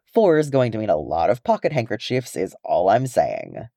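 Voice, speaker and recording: A man's voice: on the low side, huge pitch swings, normal speed, vocal fry, dark tone, no echo, full character voice. A man voicing a sceptical, chatty dwarf from a storybook, wry, blunt and colloquial. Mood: wry grumbling.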